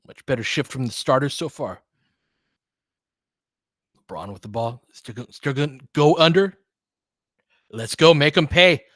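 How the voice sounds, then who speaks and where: A man's voice: mid-range voice, unhurried, long pauses, fairly bright tone, dry recording. Dry-sounding man calling basketball. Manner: clipped, fragmentary play calls. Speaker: host doing fan play-by-play of a basketball game. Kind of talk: live sports commentary mixed with casual chat.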